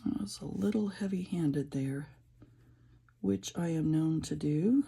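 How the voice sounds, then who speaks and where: Low raspy-voiced woman chatting while coloring. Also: a little slow, mellow. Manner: rambling, chatty, many fillers and restarts. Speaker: coloring channel creator. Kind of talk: casual chat while coloring.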